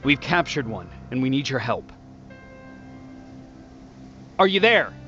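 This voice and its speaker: An earnest, weary male soldier in a dramatic sci-fi game, with a medium-pitched voice. His delivery is grave and dutiful. The audio is dull, with loud music behind him. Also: very animated, slow pace. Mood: urgent, frustrated. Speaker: game protagonist and narrator.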